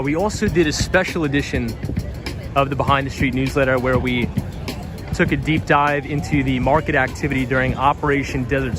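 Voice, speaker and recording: Male streamer, slightly tired voice, friendly chat-reading host, outdoor night street, music audible behind. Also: mid-range voice. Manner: friendly, reads out viewer comments. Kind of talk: casual live-stream chat while walking.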